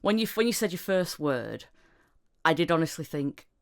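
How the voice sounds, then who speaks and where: Host: woman, low voice, Northern English with a Yorkshire tinge, rambling and chatty. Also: big pitch swings, normal speed. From Northern England. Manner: chatty, rambling with many restarts, laughs often. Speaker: podcast host. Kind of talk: casual chat.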